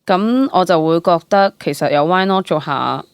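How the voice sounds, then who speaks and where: A young woman speaks in a lowish voice with a Hong Kong Cantonese accent, recorded dry and close. She chats candidly as a guest, telling things expressively with exclamations and the odd English word. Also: moderate pace, smooth, no echo. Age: young adult. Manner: animated storytelling, exclamations, mixes in English words. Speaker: interview guest, stage actor. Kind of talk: casual candid chat.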